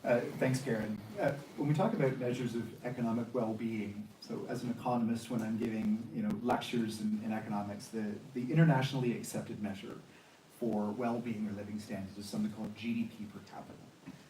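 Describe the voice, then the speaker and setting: A man speaking as a political candidate, explaining his case in a measured, lecturing way in a debate answer. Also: on the low side, steady tone, dark tone. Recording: echoey hall, distant mic, faint noise.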